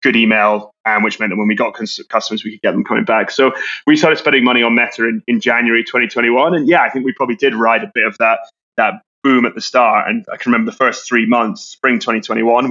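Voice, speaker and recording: Fast-talking Scottish man, muffled sound. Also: mid-range voice, fairly even intonation, pushed and bright. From Scotland. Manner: fast, repeats words as he restarts. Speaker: guest, brand co-founder. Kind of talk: casual business interview.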